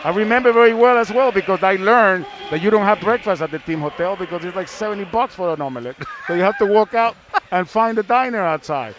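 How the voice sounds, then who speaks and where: High-voiced male broadcaster, native Spanish speaker. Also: lively intonation, fast talker. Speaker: Spanish-language sports broadcaster. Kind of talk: casual broadcast banter. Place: sports arena. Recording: faint arena noise.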